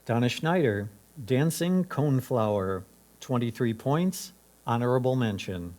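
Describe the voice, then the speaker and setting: A man's voice: medium pitch, animated, a little slow, a little mellow. A man acting as a competition announcer, reading out names, titles and scores in a formal style.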